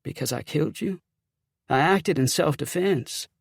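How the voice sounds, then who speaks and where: A man voicing a guilt-ridden, genteel Southern gentleman in an audiobook, speaking formally and with anguish. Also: a little high, unhurried, creaky, slightly rough, no echo, studio quality, exaggerated voice. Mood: indignant, defensive. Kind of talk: audiobook character acting.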